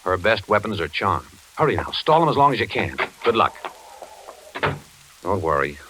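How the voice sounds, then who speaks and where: An actor playing a devious, smooth and menacing villain in a 1940s radio thriller. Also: male voice, lowish voice, sing-song, normal speed, sharp tone. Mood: brisk, scheming. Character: devious male villain, ex-FBI man posing as ally. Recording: noisy old radio recording.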